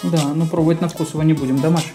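A man talks a child through a toy unboxing. He explains things in simple terms and keeps prompting with questions. Music plays clearly behind him. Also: fairly high pitch, fairly even intonation, racing, mellow. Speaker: co-host of a children's toy video. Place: small room at home.

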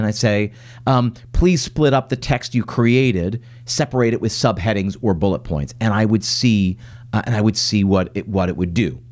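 Man, 40s, solo podcast host at a home desk; animated pitch, middle-range voice, casual explainer with fillers. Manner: reads lists aloud, then talks loosely with many fillers and restarts. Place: home office with plants.

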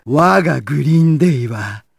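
An actor playing a sadistic, deranged surgeon villain in a video game, theatrical, moving between silky menace and manic screaming. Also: male voice, on the high side, expressive, normal speed, creaky, breathy edge, dry recording, studio quality, heavily acted. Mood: smug, calculating. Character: sadistic, deranged male villain surgeon, gleefully obsessed with despair. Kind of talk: Japanese video game voice acting.

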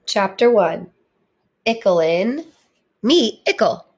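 A woman reading a storybook aloud for children in a deliberate, expressive manner. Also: medium pitch. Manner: deliberate, animated delivery. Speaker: storybook reader, teacher.

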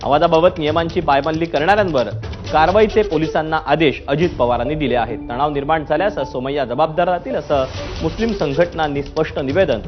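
A man's voice: a little high, brisk. A man presenting scripted news in an emphatic, formal delivery. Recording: muffled broadcast audio with music bed. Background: news music bed.

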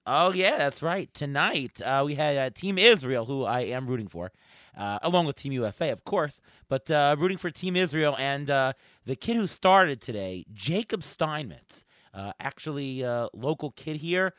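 A man hosts a sports podcast in a casual, conversational way. His voice sits at a middle pitch and is bright and forceful, with a slight hoarseness. The sound is dry and close. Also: animated, normal speed, dry recording. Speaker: podcast host. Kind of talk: casual sports talk with a co-host and guest.

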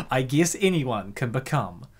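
A man's voice: mid-range voice, very animated, slow, slightly rough. A man hosting with casual, chatty reaction commentary, rambling and jokey. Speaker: host of comic dub channel.

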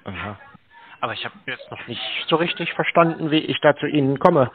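A man's voice: on the high side, expressive, brisk. A man talking on a phone call in a dry, sceptical, questioning way. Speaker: show host answering a scam call. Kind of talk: phone conversation.

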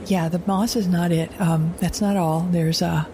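Clear noisy ambience surrounds an actress as a lone woman survivor in an audio drama. Her voice sits a little low. She speaks haltingly and anxiously, repeating words and often sinking toward a whisper. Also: steady tone, mellow, lightly acted. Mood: nervous wonder. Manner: halting, repetitive, drifting into breathy whispers. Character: anxious woman survivor alone in a strange wilderness. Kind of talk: audio drama monologue, like a spoken journal.

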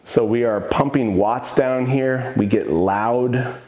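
A man's voice: mid-range voice, takes it slowly, darkish tone. Male, 30s, fitness coach, mid-range voice, casual and enthusiastic, echoey gym room. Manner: enthusiastic, casual, opinionated about gear. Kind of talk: casual home gym tour to camera. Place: home gym in a basement room.

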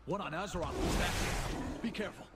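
An actor playing a watchful elf guide in a fantasy video game, measured and cautioning. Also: male voice, high voice, reverberant, very noisy, close to an everyday voice. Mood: alarmed.

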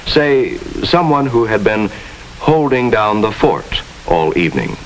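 A man's voice: on the low side, sing-song, measured pace, poor audio. An American man giving formal, instructive narration with precise diction in the style of a 1950s educational film. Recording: hissy 1950s film soundtrack.

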